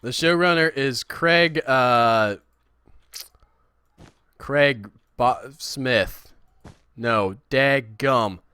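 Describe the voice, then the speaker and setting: A man chatting casually while he streams a building game, rambling and self-deprecating, with a high, squeaky voice. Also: extremely slow, pauses often, bright, forceful tone, clean tone, dry recording. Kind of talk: casual livestream chat.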